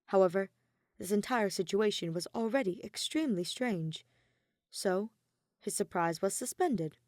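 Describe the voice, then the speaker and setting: Woman reading an audio story, medium pitch, very dry recording. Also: animated, measured pace, darkish tone, no echo. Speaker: audiobook narrator of fan fiction. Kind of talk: scripted story narration.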